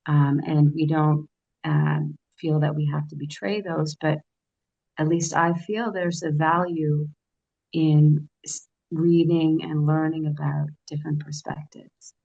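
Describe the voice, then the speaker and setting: Very low-voiced woman with a gravelly edge. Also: flat delivery, dark tone, muffled recording. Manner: measured, reflective, hesitant with fillers. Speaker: editor and panelist. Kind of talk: panel discussion.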